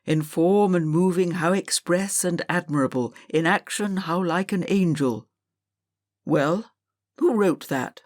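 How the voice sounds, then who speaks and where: A woman's voice: on the low side, a little slow, a little mellow, no room sound, studio quality, natural voice. A woman reading an audiobook, voicing a stern, impatient elderly male schoolmaster who barks orders at his class. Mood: declaiming. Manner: barking, scolding commands.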